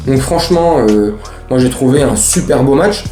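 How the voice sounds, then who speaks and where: A man hosts a chatty football fan recap. His mellow, relaxed voice rings in a roomy space. He uses plenty of slang and speaks directly to the people watching. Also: mid-range voice, normal speed, soft background music. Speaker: host of a football fan show. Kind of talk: casual match recap to camera. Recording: roomy, echoey room sound.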